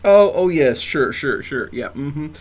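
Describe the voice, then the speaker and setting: A man's voice: fairly high pitch, expressive, unhurried. A man hosting a game stream in casual banter, rambling in a mock-serious way and stammering over repeated words. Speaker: live streamer hosting a gaming session.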